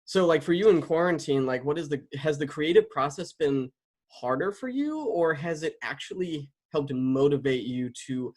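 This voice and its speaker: A man's voice: fairly high pitch, lively intonation, normal speed, darkish tone. A man interviewing a guest in a casual, informal way, often chuckling as he talks. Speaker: interviewer, content creator.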